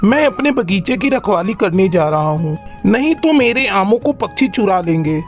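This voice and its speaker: A woman's voice: mid-range voice, expressive, normal speed, soft background music, natural voice. A woman voicing a watchful, dutiful male crow who guards his orchard in a children's cartoon. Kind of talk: Hindi children's cartoon acting.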